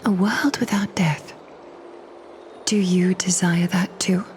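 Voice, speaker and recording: An actress playing a composed noblewoman leader in a game, measured and commanding. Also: on the low side, fairly even intonation, a little mellow, a little hiss or hum.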